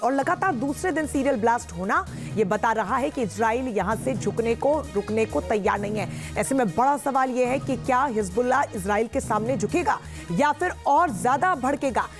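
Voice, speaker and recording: High-pitched woman news anchor. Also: lively intonation, normal speed. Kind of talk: live breaking-news presenting. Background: news music bed.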